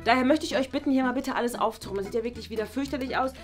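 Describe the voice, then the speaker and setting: A woman's voice: on the high side, racing, faint music behind, close to an everyday voice. A woman voicing a scatterbrained, flustered female teacher who stumbles over her words in a toy play series. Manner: hesitant, full of "äh" and "ne?". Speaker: teacher figure Frau Bartsch.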